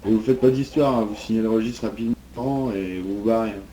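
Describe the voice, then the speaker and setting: A man playing a gruff, impatient police jailer in improvised online roleplay, scolding and brusque. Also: lowish voice, brisk, mellow, a little hiss or hum, close to an everyday voice, poor audio.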